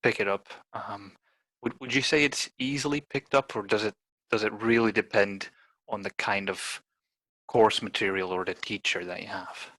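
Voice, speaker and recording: Low, dry-voiced man chatting casually. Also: steady tone, moderate pace, fairly bright tone, dry recording, rough recording. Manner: attentive, brief agreeing replies. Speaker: podcast host. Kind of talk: casual conversation.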